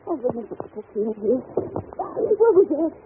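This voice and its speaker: Anxious young governess, crackly old radio. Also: female voice, high voice, big pitch swings, measured pace. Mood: frightened. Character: anxious, genteel young governess, easily frightened but dutiful. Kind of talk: 1930s radio acting. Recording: noisy, tinny old radio recording.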